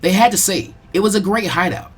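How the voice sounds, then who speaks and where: A man's voice: sits high, brisk, breathy edge. A man reading scripted fan fiction narration aloud as a storyteller.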